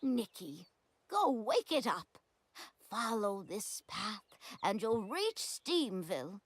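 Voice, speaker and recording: A woman voicing a cheeky, bossy little talking pet companion in a video game, lively and impatient. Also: mid-range voice, slow, slightly rough, dry recording, heavily acted. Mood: guiding.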